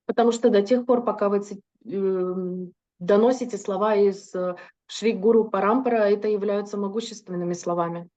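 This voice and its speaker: A woman interprets a lecture sentence by sentence, fluent but now and then stopping to correct herself. Her voice is mellow and warm. The recording sounds dull and muffled. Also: mid-range voice, fairly even intonation, moderate pace. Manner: fluent, with occasional hesitations and self-corrections. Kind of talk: consecutive interpretation into Russian.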